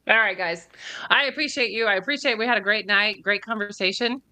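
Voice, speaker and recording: A woman's voice: mid-range voice, normal speed, bright, forceful tone, clean tone. A woman hosting a casual interview podcast, upbeat and giggly, encouraging her guests. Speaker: podcast host.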